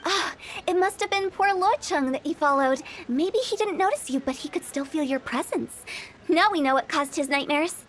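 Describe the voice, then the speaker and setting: A woman's voice: sits high, moderate pace, a little hiss or hum, natural voice. A woman playing a mischievous young funeral director. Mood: teasing. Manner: teasing, self-assured, giggly. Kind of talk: video game voice acting.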